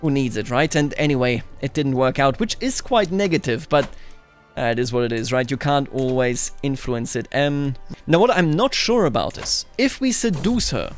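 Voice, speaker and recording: A man's voice: mid-range voice, lively intonation, moderate pace. A man giving casual roleplay commentary on a video game, musing and narrating it as a story. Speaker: gaming video host. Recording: music under the voice. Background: background music.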